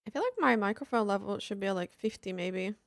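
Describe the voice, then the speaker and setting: A woman's voice: medium pitch, fast, dry recording, studio quality. A young woman from Finland, chatting casually with her live-stream chat while she plays games. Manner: chatty, answers chat offhandedly.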